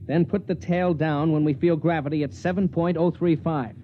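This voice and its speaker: An actor playing a resolute, weary spaceship captain and husband, terse and authoritative, in 1950s radio drama style. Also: male voice, fairly high pitch, steady tone, normal speed, close to an everyday voice. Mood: commanding. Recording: muffled, hissy old radio broadcast.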